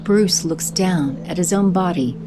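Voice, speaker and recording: A woman giving steady scripted narration for an audio drama. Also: on the low side, unhurried, noisy background. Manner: steady, descriptive.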